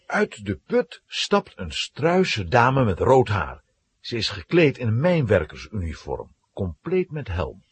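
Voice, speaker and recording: A man giving dramatic, expressive scripted narration of a children's story. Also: lowish voice, takes it slowly, slightly rough.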